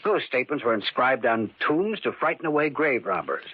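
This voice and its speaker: A man's voice: mid-range voice, very animated, a little fast, husky. An actor playing a psychiatrist who reassures his patient in a firm, insistent way in 1940s radio drama style. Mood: firm reassuring. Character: brisk male psychiatrist, firmly reassuring. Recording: dull old radio recording.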